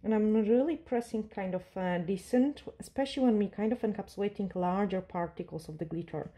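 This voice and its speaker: Woman nail tech, mellow tone, calm step-by-step tutorial delivery with fillers. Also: mid-range voice, brisk. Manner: calm, instructive, with frequent fillers and non-native grammar. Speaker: nail technician teaching a tutorial. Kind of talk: step-by-step tutorial narration while working. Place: nail salon desk.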